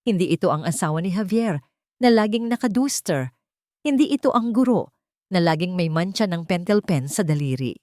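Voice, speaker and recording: Low-pitched woman narrating drama. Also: animated, normal speed, warm tone, no echo, clean studio sound. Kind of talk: scripted dramatic story narration.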